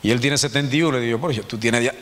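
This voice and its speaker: A man's voice: medium pitch, very fast, fairly bright tone. Dominican man in his fifties, reverberant hall. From Dominican Republic. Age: 50s. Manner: conversational, joking, asks the congregation "¿verdad?". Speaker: church teacher. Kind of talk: informal Sunday teaching. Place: church hall.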